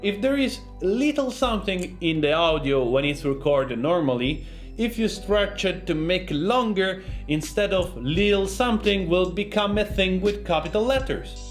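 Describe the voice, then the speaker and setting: Non-native english guy doing a jokey sound design tutorial, kinda high voice, music behind him. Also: animated, moderate pace. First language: not English. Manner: joking, self-deprecating, informal. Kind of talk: informal video tutorial.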